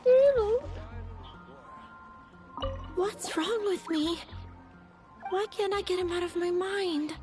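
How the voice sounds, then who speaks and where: A woman's voice: extremely high pitch, takes it slowly, pauses often, warm tone. A woman voicing a lovestruck young shop girl in a video game, with a dreamy, swooning manner. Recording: game music behind the voice.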